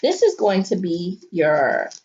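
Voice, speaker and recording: A woman teacher reading a picture book aloud to children and talking them through it, with expressive, dramatic delivery and drawn-out emphasis. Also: lowish voice, lo-fi sound, a little hiss or hum. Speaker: elementary school teacher.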